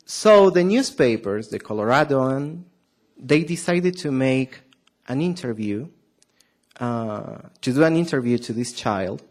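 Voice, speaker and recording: A man's voice: medium pitch, animated, a little slow, darkish tone. A man from Argentina with a strong Argentine Spanish accent, preaching a sermon to his church, hesitant with many fillers and questions to the audience. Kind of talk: sermon to a congregation.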